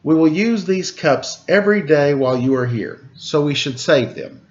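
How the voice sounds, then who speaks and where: A man voicing a calm, kindly giant panda uncle in a storybook, with a plain, reassuring manner. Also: on the high side, moderate pace, lo-fi sound, natural voice.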